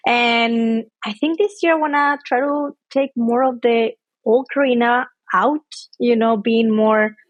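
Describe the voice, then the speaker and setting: A woman's voice: a little high, steady tone, measured pace, no room sound, dull audio. A woman in her thirties from Mexico, speaking English. She chats casually as a podcast guest, with lots of fillers. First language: Spanish. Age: 30s. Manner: chatty, with frequent fillers and laughs. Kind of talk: casual interview chat.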